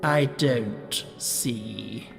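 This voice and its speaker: A stern storybook caterpillar, put on by a man, drawls each curt word very slowly in a gravelly, raised voice. His contemptuous remarks hang in a long, echoing reverb over faint music. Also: mid-range voice, pitched below the performer's own voice, heavily acted. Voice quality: slow, creaky drawl. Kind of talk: audiobook character voice.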